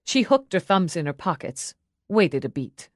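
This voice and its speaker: A woman's voice: fairly low pitch, sing-song, unhurried, no room sound, clean studio sound. A woman narrating a novel in a dramatic, scripted audiobook style.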